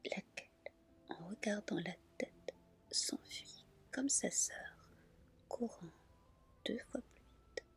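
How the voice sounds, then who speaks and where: Woman doing asmr story reading, all whispered, really slow, bit crackly in the voice. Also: mid-range voice. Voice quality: breathy, soft whisper. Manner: gentle, careful storytelling. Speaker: ASMR storyteller, channel host.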